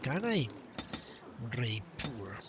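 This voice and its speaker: A man's voice: mid-range voice, very animated, slow pace, background noise. A man doing casual live commentary on a game he plays, thinking aloud and muttering short questions to himself. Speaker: game streamer. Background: game music.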